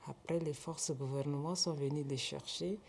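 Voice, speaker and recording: A woman with a very low, mellow voice tells her story in an interview, calm and halting, with faint room ambience behind her. She speaks plainly, pausing on fillers. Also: vocal fry, slight background noise. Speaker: parent. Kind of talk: interview testimony.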